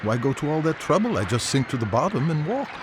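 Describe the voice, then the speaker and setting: An actor playing a courteous, wry companion in a fantasy video game, speaking politely and articulately. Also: male voice, a little high, big pitch swings, fast. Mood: wry, teasing. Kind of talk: video game cutscene dialogue. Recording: noisy game audio.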